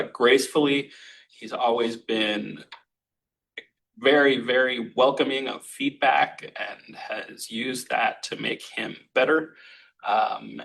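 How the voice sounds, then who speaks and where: A man who runs the lab introduces his student at a thesis defense, praising him in a relaxed way with fillers. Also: medium pitch, vocal fry, rough recording. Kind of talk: introductory remarks.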